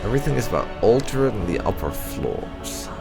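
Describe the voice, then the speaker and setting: A man's voice: fairly low pitch, sing-song, normal speed, creaky, over music. A man voicing an exasperated, cautious young apprentice mage from a fantasy game, firm and scolding. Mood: exasperated refusal.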